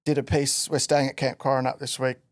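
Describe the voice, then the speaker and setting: Relaxed, rambling man. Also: mid-range voice, fairly quick, no room sound. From Western Australia. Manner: relaxed rambling storyteller with many fillers. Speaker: guest artist. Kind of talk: casual podcast interview.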